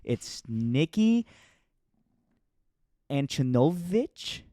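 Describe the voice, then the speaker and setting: A man's voice: on the high side, sing-song, drawn-out words, mellow, dry recording. A man hosting a casual podcast interview in a playful, teasing, informal way. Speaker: podcast host.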